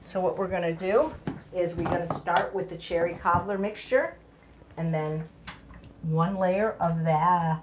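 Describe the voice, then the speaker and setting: A woman's voice: fairly low pitch, steady tone, measured pace, slight background noise. A middle-aged woman giving a casual live cooking demonstration as chef and host, in an enthusiastic, exclaiming manner. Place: home kitchen, indoors. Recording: clattery kitchen, dishes and clicks.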